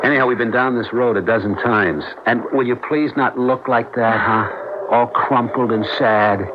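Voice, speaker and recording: An actor in a 1970s radio drama playing a breezy, boastful husband and former football star with an emphatic, cajoling manner. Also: male voice, medium pitch, fairly even intonation, normal speed, close to an everyday voice. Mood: soothing, cajoling. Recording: noisy, dull old radio broadcast.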